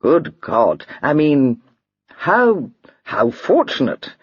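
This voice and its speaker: A woman reading aloud, voicing a fond, teasing young gentleman in a breathy voice near her own. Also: deep voice, very animated, drawn-out words, dry recording, dull audio, heavily acted.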